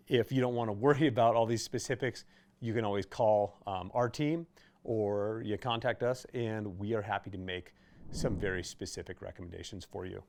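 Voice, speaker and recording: A man's voice: fairly low pitch, darkish tone. A man presenting products in a casual, conversational explainer style, talking the listener through things hands-on. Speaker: presenter for a CB radio retailer.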